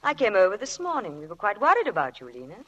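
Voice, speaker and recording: A woman's voice: medium pitch, wildly swooping pitch, quick pace, fairly bright tone, low-quality recording. An actress playing a worldly, self-assured lady crime novelist in a vintage radio drama, arch and teasing. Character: worldly, self-assured woman mystery writer.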